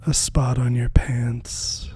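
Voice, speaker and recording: A meditation guide with a dark, mellow voice leads a spiritual practice very slowly. The delivery is soft and breathy, often sinking toward a whisper, with long gentle pauses. Faint music plays along. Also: mid-range voice, little pitch movement.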